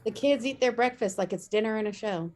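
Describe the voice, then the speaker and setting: A woman's voice: on the high side, a little fast, a little mellow. A woman reading out stage directions as scripted narration at a table read.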